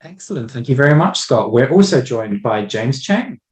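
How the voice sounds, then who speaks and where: A man's voice: mid-range voice, lively intonation, moderate pace, darkish tone, reverberant. A man moderating an online panel, politely introducing each guest over a video call.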